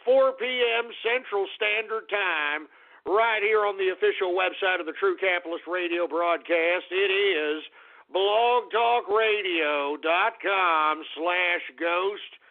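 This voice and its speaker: A man with a hoarse voice hosting an internet radio show, talking in a rambling, folksy way and calling his listeners folks. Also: high-pitched, slow. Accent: Texan. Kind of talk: casual call-in radio monologue. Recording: tinny phone-quality internet radio.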